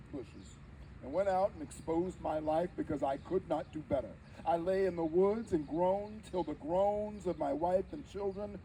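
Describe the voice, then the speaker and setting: A man playing a frail, devout old formerly enslaved preacher in solemn, halting theatrical oratory before an audience. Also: fairly high pitch, dark tone, recorded from a distance, a little hiss or hum, poor audio. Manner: solemn, formal, halting oratory with dramatic swells. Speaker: live interpreter. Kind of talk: theatrical first-person historical oration.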